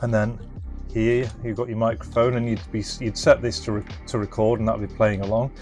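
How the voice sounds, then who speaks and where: A man's voice: on the low side, little pitch movement, a little fast, darkish tone, faint music behind. A man casually presenting a hands-on product demonstration, explaining informally and thinking aloud as he goes. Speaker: presenter and reseller of restored retro electronics.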